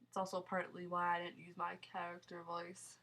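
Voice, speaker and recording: A woman's voice: fairly low pitch, completely flat delivery, moderate pace, pushed and bright. A young woman with a nasal voice from a sinus cold, chatting casually while answering viewer questions on a live stream, rambling and laughing often. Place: home, small town.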